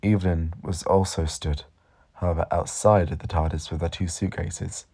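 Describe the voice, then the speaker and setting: A man with a low voice narrates a short audio story. He reads scripted prose as the storyteller. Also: steady tone, moderate pace, gravelly, a little mellow, husky. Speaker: story reader.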